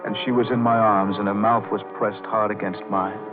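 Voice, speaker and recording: A man's voice: fairly low pitch, level tone, normal speed, lightly acted. An actor playing a hot-headed, lovestruck young American man narrating an old radio crime drama in a brooding, dramatic way. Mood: brooding, intense narration. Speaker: actor, first-person narrator. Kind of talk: 1940s-50s radio drama acting, noir narration. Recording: tinny old radio, band-limited, organ music behind.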